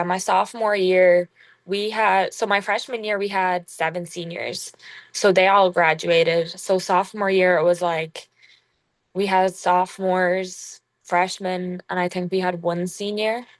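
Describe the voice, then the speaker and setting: A young woman from Ireland, a college basketball player, talking casually in an interview with an Irish accent gone strongly American, halting and full of fillers. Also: on the low side, dry recording. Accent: Irish with a strong American tinge. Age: young adult. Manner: relaxed, halting, full of "like" fillers, laughs often.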